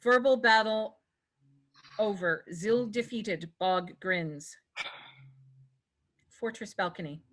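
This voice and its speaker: A woman's voice: mid-range voice, slow, pauses often, fairly bright tone. A woman reading scripted stage directions as the narrator of a table read.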